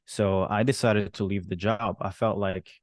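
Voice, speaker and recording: A man's voice: lowish voice, steady tone, moderate pace, no room sound, clean studio sound. A man from Rwanda who moved to Canada as a teen, telling his own story casually as an interview guest and often rephrasing as he goes. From born in Rwanda, moved to Canada at thirteen.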